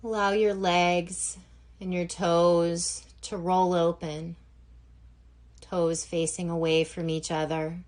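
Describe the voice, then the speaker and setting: A woman with a fairly low voice leads a guided meditation. She speaks very slowly and gently, leaving long pauses between phrases as she gives relaxation instructions. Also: level tone. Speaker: yoga nidra instructor.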